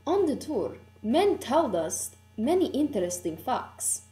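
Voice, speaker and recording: Woman playing a lively-toned tourist in an echoey room. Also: on the high side, a little slow, faint music behind. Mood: fond. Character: fond woman tourist remembering a visit to France. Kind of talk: scripted monologue, puppet show.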